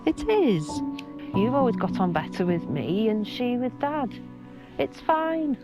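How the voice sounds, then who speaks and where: A woman's voice: on the high side, sing-song, a little slow, lo-fi sound. A middle-aged woman playing a resigned, wistful mother in understated, naturalistic film dialogue, with music behind her.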